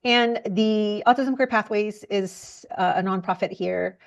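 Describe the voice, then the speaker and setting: A woman from Indonesia, speaking earnestly as a podcast guest, often pausing and restarting her sentences. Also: medium pitch, vocal fry. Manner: earnest, halting, with frequent fillers and restarts. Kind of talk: conversational interview.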